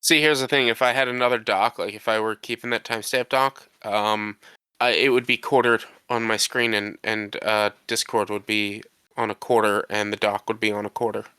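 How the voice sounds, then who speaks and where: A man's voice: lowish voice, fairly even intonation, normal speed, pushed and bright, clean tone, dry recording. A man co-hosting a podcast while congested from a cold, rambling and defensive in casual chat. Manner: rambling, defensive, restarts often. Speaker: podcast co-host.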